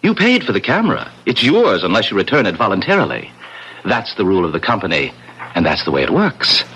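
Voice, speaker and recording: An actor playing a smooth-talking, quietly menacing salesman with a glib, over-familiar manner in a 1970s radio drama. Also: lowish voice, huge pitch swings, a little fast, a little hiss or hum, heavily acted, low-quality recording. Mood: veiled menace.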